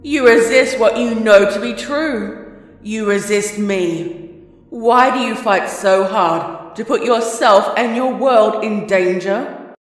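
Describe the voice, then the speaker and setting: A woman voicing a fanatical female sun-monster that lives in a pony princess's heart, speaking in a slow, looming, scornful way through an echoing reverb effect. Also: medium pitch. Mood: pleading. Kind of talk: audio drama reading. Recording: echoey, long-reverb processed voice.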